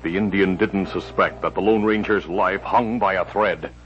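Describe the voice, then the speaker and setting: A man's voice: deep voice, steady tone, normal speed. A man reading scripted narration for a 1950s radio drama. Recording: dull old radio recording, music behind.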